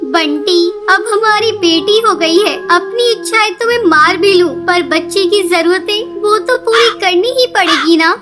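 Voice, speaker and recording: A woman's voice: very high voice, measured pace, hardly any pauses. A woman voicing a worried, dutiful young mother bird in a cartoon, plaintive and earnest. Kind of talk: cartoon voice acting.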